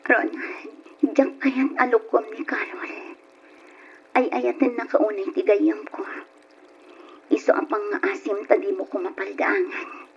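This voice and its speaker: An Ilocano woman acting a self-sacrificing young woman torn by forbidden love in a radio drama, pleading in a breathy, emotional way. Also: fairly high pitch, measured pace, long pauses. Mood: tearful, earnest. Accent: Filipino, Ilocano. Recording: muffled, noisy old radio drama recording.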